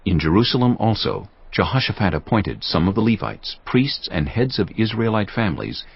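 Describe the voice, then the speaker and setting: Very deep-voiced male narrator. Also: creaky, breathy edge, dry recording. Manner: measured, expressive storytelling. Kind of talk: scripted scripture narration. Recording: dull lo-fi with music bed.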